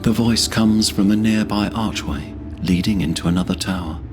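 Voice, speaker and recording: A man narrating scripted fantasy as a storyteller in a solemn, measured manner. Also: lowish voice, over music.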